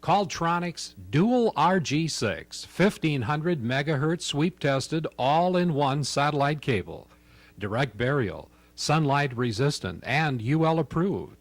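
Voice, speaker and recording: Guy doing a punchy ad read, bouncy pitch, dry studio sound. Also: sing-song, slow, no echo. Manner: punchy salesman delivery. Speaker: commercial announcer. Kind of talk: scripted ad read.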